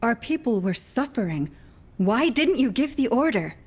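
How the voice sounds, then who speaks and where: A woman's voice: on the high side, very animated, normal speed, a little mellow, slightly rough. An actress playing a blunt, frustrated female sniper in a video game, intense and accusing.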